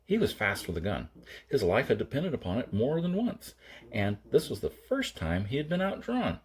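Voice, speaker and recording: A man's voice: medium pitch, huge pitch swings, normal speed. A man reading a story aloud as an audiobook narrator, in a dramatic storytelling style.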